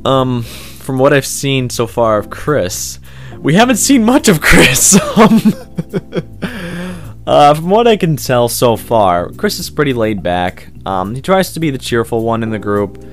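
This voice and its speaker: A man's voice: medium pitch, big pitch swings, measured pace. A man giving casual, rambling, unscripted interview answers as an amateur voice actor, informal and keen on the work. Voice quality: loud voice. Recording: music playing behind the voice.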